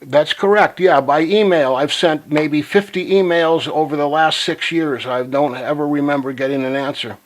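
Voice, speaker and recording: A man making a phone call to pitch his ideas, speaking earnestly and insistently as if reciting slogans. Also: normal speed, few pauses. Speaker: caller.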